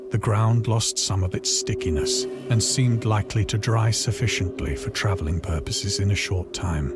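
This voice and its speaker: An actor playing a grave, haunted stranded man recounting a cosmic horror ordeal in formal, measured narration for a game. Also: deep voice. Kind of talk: scripted dramatic first-person horror narration. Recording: voice over prominent background music.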